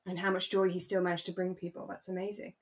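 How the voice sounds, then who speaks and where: A woman's voice: fairly low pitch, little pitch movement, fast talker. A woman co-hosting a podcast, chatting casually and reflectively about a radio drama. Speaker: podcast co-host.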